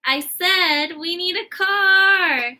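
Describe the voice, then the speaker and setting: A woman voicing an anxious, excitable elephant who loves plans, with emphatic, drawn-out exclamations in a children's storybook. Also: extremely high pitch, voice pushed up, full character voice. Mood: urgent, excited. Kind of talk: children's storybook read-aloud.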